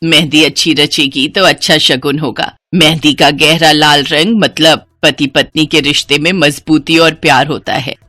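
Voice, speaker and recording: A woman's voice: sits low, fairly even intonation, normal speed, few pauses, pushed and bright, no room sound, pitched below the performer's own voice. A woman voicing an older mother-in-law, firm but affectionate and instructing, in a cartoon story.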